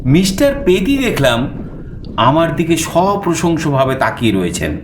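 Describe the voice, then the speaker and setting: A man narrating a suspense story in the first person, theatrical, with dramatic pauses. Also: fairly high pitch, very animated, slight background noise. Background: music.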